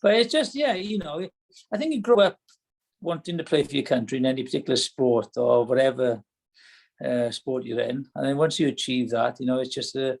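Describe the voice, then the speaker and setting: A man's voice: mid-range voice, a little fast, vocal fry, a little mellow. A middle-aged Welsh man answering as a podcast guest, reflective and hesitant, often restarting his sentences. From Wales. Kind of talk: casual podcast chat.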